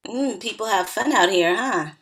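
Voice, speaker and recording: A woman's voice: medium pitch, lively intonation, moderate pace, fairly bright tone. A woman acting a sardonic, confident party-loving wedding guest in a screenplay table read. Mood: wry, playful.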